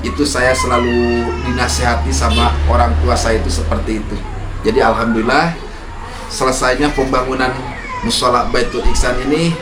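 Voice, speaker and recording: A man's voice: mid-range voice, distant mic. A man from Indonesia giving an earnest speech as host of a village ceremony, growing emphatic at times. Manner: earnest, emphatic when defending himself. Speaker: host handing over a prayer house. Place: outdoors in a village. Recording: echoey, from afar, with noise.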